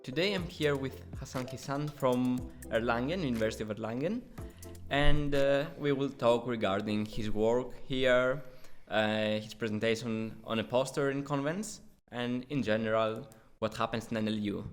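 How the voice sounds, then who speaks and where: A man's voice: medium pitch, normal speed, faint music behind. A man hosting a podcast, speaking in a conversational academic interview style.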